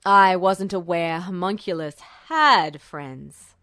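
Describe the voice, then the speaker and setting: Woman putting on a cold, stern male general, pitched down. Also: slow, no room sound, heavily acted. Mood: imperious, suspicious. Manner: clipped, imperious, coldly calm.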